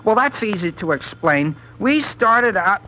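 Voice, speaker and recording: A man's voice: sits high, very animated, measured pace, sharp tone, lo-fi sound, exaggerated voice. An actor playing an earnest, hesitant teenage boy who stammers and dodges, in a 1930s radio comedy. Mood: flustered, evasive. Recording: noisy, vintage 1930s radio broadcast.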